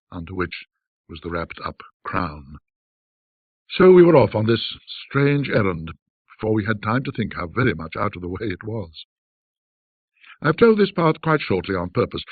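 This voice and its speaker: Husky, low-voiced man narrating, muffled sound. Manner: measured, formal, old-fashioned storyteller. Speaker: ghost story narrator. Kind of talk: scripted literary narration, classic ghost story reading. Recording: muffled band-limited audio, roomy echo.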